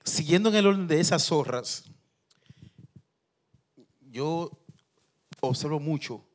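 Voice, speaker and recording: A man, a pastor preaching and telling personal anecdotes in a lively way. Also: a little high, slow. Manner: lively storyteller who acts out quotes.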